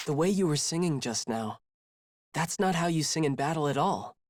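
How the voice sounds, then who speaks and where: A man's voice: fairly high pitch, animated, moderate pace, no room sound, studio quality, close to an everyday voice. An actor voicing a kind, earnest teenage boy hero in a video game, sincere and pleading. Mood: gentle, relieved. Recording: loud music behind the voice.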